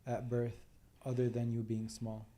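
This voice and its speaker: A man's voice: mid-range voice, completely flat delivery, a little slow, warm tone. A man hosting a podcast interview, asking short questions and giving brief acknowledgments. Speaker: podcast host.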